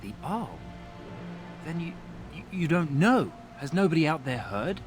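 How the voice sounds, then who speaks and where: A high-pitched, earnest young village man for a fantasy video game. He sounds frightened and humble, with a halting, deferential delivery over background music. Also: sing-song. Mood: anxious, despairing.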